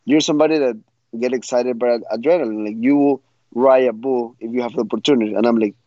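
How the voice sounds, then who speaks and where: Young man, blunt storyteller who keeps restarting; dry, dark sound. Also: mid-range voice, fast, no room sound, highs cut off. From Ecuador. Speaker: guest fighter. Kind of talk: interview answers.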